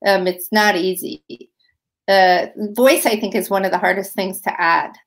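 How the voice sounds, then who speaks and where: A woman's voice: medium pitch, fairly even intonation, moderate pace. Woman, middle-aged, writing teacher, chatty, lots of fillers, webcam call. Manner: chatty, many fillers and restarts. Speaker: writing instructor. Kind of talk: online workshop teaching. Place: room at home. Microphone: webcam video call.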